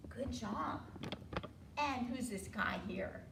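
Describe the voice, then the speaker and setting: An American woman leading an interactive storytime for preschoolers, asking simple questions and drawing out key words in an animated way. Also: fairly high pitch, slow pace, vocal fry. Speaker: children's storytime librarian. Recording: roomy, distant mic, faint room noise.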